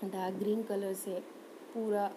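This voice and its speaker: Halting, even-toned woman giving a tutorial. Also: mid-range voice, background noise. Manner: halting, hesitant, with frequent pauses. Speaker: craft tutorial host. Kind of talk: unscripted step-by-step demonstration voice-over.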